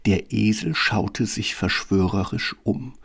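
A man's voice: lowish voice, slow pace, vocal fry, husky. A man narrating a scripted fairy tale as the storyteller of an audio play.

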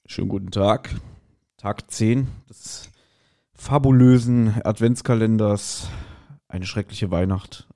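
A man's voice: on the low side, steady tone, slow pace, mellow. A man hosting a podcast in casual, jokey chat, with a rambling, self-deprecating manner. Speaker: podcast host.

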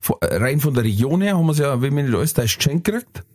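A man with a Bavarian accent chats on a podcast, relaxed and storytelling. His pitch sits in the middle of the usual range. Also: quick pace. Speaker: comedian and podcast co-host. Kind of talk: casual chat.

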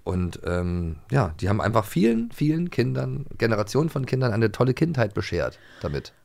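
A man from former East Germany co-hosting a podcast in relaxed, casual chat, often hesitating and restarting. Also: medium pitch, brisk, creaky, no echo. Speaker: podcast co-host.

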